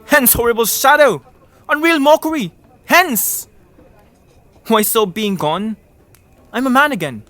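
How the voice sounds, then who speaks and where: A man's voice: extremely high pitch, expressive, unhurried, long pauses, sharp tone, faint music behind, heavily acted. An actor playing a guilt-haunted, raving king in a dramatic reading of Shakespeare. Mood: agitated, defiant.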